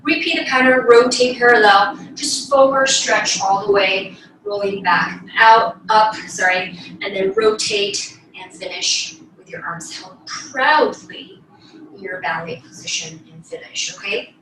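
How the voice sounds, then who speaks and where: A woman's voice: fairly high pitch, sing-song, measured pace, fairly bright tone, a little hiss or hum. A woman giving spoken ballet class instruction, cueing moves in an encouraging way as she demonstrates. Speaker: ballet teacher. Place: small bedroom, indoors. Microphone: distant camera across the room. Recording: very echoey room, distant microphone. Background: piano music.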